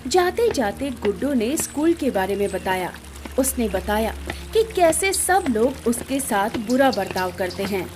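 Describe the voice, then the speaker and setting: A woman narrating a scripted bedtime story in an expressive storytelling manner. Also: on the high side, unhurried, background noise.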